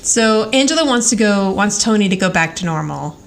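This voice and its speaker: A woman's voice: mid-range voice, lively intonation, fairly quick. A woman co-hosting a podcast, casually recapping in a chatty way and acting out bits of dialogue as she retells. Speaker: podcast co-host. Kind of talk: casual TV rewatch recap chat. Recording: clear background noise.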